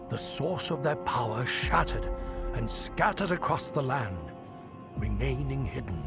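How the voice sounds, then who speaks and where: An actor playing a sly shapeshifting deity posing as a wandering bard in a video game, speaking in a theatrical, cryptic, teasing manner. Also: male voice, medium pitch. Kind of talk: scripted video game dialogue acting.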